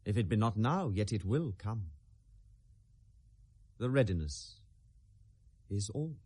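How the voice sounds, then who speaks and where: An actor with a British accent playing a brooding, sardonic young prince in a classical radio drama, theatrical and deliberate in delivery. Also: male voice, on the low side, sing-song, dark tone, slightly rough, full character voice. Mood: calm, philosophical. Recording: 1970s radio drama recording.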